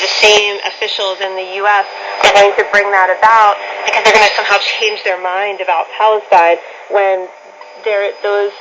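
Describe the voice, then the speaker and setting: A woman giving earnest, articulate commentary as an interviewee. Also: mid-range voice. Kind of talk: unscripted political interview commentary. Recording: noisy, muffled shortwave radio broadcast.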